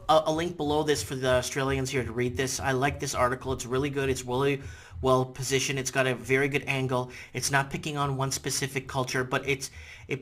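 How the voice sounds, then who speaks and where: A man in his thirties whose first language is Portuguese, talking casually to a webcam in a rambling, animated way with lots of repetition and "you know what I'm saying?". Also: medium pitch. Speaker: vlogger. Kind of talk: casual opinion vlog to camera. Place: indoors in front of a green-screen city backdrop.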